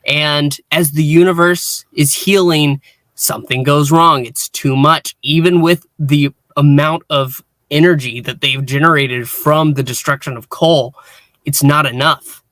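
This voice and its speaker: A man with a slightly higher-pitched voice talks through a casual review as a podcast host. He often restarts his phrases in a halting way. The sound is dry, with hardly any room echo. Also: steady tone, fairly bright tone.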